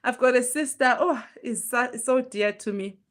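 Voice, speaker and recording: A woman hosting a casual motivational live stream, her voice hoarse and strained from illness as she pushes it, chatting earnestly and greeting viewers by name. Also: a little high, normal speed. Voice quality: normally very loud voice, pushing it now.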